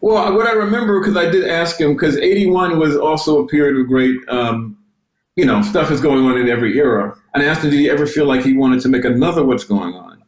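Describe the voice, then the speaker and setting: A man speaking as a panelist and music journalist, reflective and halting, often restarting his sentences. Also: a little high, roomy sound, low-quality recording. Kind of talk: panel discussion.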